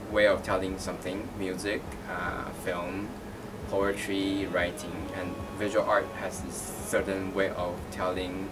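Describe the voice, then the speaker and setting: A man's voice: low voice, heavy background noise. A man from Taiwan, an artist and art teacher, answering interview questions thoughtfully, with many fillers and restarts. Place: gallery. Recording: distant mic with music underneath.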